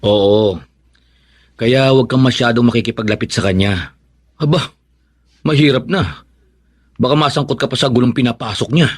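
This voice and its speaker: Man acting as stern husband, lowish husky voice, dry close sound, measured, cautioning, radio drama. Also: no room sound, natural voice. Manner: measured, admonishing. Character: cautious, stern husband warning his wife.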